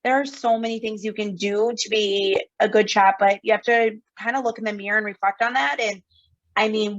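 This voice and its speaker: A woman with an Upper Midwest American accent, a guest on a podcast, talking in an earnest, emphatic way and repeating words for stress. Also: medium pitch. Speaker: guest, sales director. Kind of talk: casual podcast chat. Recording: slightly dull lo-fi sound.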